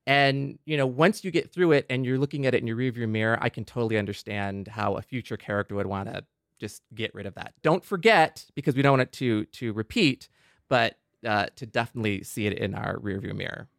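A man's voice: medium pitch, a little fast, gravelly, a bit bright, no room sound. Middle-aged male panelist, mid-range voice, reflective and a little hesitant, recorded dry and close. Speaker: podcast panelist. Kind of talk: casual reflective discussion.

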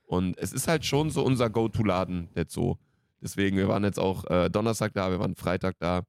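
A man's voice: low-pitched, fairly quick, vocal fry, darkish tone, no echo, studio quality. A man in his late twenties co-hosting a podcast in casual, slangy, jokey banter. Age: late 20s. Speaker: podcast co-host.

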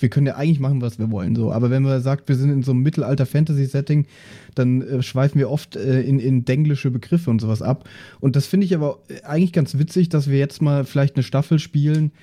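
A man in casual podcast chat, explaining his thoughts in a halting, discursive way. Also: medium pitch, mellow. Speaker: podcast player.

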